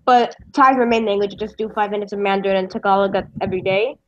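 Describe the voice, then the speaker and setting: A woman joins an online meetup and answers hesitantly, pausing to search for words. Her voice sits at a middle pitch. The call audio sounds dull and lo-fi. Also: fairly even intonation. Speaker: meetup participant. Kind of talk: casual chat.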